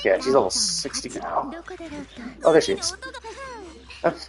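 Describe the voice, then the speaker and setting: A man's voice: on the high side, wildly swooping pitch, normal speed, crowd noise. Man thinking aloud on a gaming stream. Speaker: live streamer.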